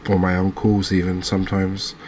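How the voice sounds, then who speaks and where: A man giving soft-spoken ASMR commentary over a game, relaxed and musing, with unhurried pauses. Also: low voice, slight background noise. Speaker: gaming video host.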